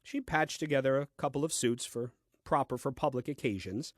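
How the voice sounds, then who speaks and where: A man's voice: mid-range voice, a little fast, no room sound, natural voice. An actor playing a grumbling, self-important first man reading his diary in a comic audio play, with dry, fussy delivery. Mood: dryly pompous.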